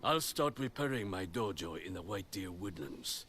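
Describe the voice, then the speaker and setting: Low-voiced seasoned spear master. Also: male voice, expressive, fairly bright tone. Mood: earnest. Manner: instructive, measured, commanding. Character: seasoned male spear master, stern yet encouraging teacher. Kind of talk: video game character acting. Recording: clear noise of game ambience.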